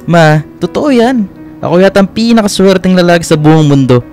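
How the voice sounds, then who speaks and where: Earnest young boyfriend, faint music. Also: male voice, high voice, lively intonation, normal speed, a little mellow. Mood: fond, teasing. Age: young adult. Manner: sincere, lightly teasing. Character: earnest, devoted young boyfriend, a playful son. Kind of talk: Filipino radio drama acting.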